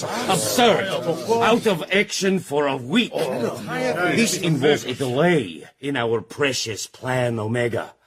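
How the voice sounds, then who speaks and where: A man's voice: mid-range voice, slightly rough. A man acting in a radio drama as a disdainful doctor in a criminal syndicate, formal and emphatic.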